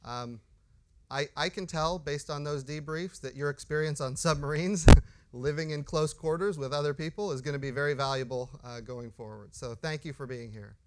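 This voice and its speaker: A middle-aged man hosting a live panel as an agency administrator, warm and prone to restarts and repeated words. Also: on the high side, normal speed.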